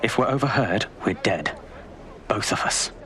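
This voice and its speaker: Guarded intelligence informant, low and forceful. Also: male voice, animated, unhurried. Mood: guarded, grave. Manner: terse, guarded, formal. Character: wary, formal male intelligence officer informant.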